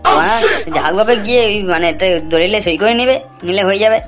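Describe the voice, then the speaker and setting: Woman voicing a fast-talking, sly cartoon swindler. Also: mid-range voice, natural voice. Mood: scheming. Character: sly, crude, greedy male lover and swindler. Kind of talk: amateur cartoon voice acting. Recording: music under the voice.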